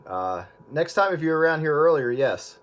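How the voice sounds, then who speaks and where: A man chatting casually over CB radio from his room at home, friendly and relaxed with many fillers. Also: a little high, normal speed. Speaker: host, CB radio operator. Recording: loud static hiss.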